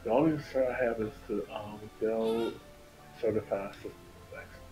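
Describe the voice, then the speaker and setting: A man's voice: fairly low pitch, steady tone, normal speed, warm tone, low-quality recording. A man chatting in a casual, colloquial way over an online voice call. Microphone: online voice chat. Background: video game music.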